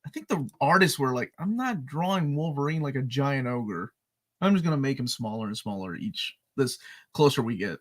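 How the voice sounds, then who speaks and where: A man's voice: mid-range voice, normal speed. A man co-hosting a live comics talk stream, reading chat questions aloud and chatting casually. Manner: animated, reads chat questions aloud, laughs often. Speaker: co-host of a comics show.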